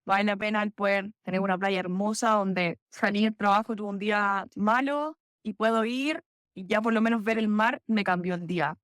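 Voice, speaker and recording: A woman's voice: medium pitch, moderate pace, no echo, studio quality. A young Chilean woman with a Chilean Spanish accent, a guest telling stories in a casual podcast chat. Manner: chatty, animated storytelling with laughs and self-corrections. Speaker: interview guest, physiotherapist.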